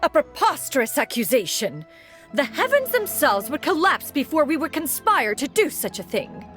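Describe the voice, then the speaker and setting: A woman's voice: high voice, big pitch swings, moderate pace, a bit bright, soft background music. A woman voicing a refined, dignified female collector who speaks in a lofty, archaic way, in a video game. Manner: archaic, lofty and elegant speech.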